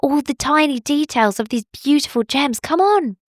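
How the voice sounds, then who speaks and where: An eager, helpful little bunny chirps along in a bright, high voice, as a woman storyteller puts him on. He sounds keen and friendly, a small creature from a children's storybook. The sound is dry and close. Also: normal speed, dry recording. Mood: excited. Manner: bright and excited.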